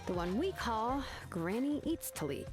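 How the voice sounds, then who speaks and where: A woman voicing a level-headed, frank ally in an anime fantasy game, with a measured, slightly wry delivery. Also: fairly high pitch, big pitch swings. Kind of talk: anime video game voice acting. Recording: faint music behind.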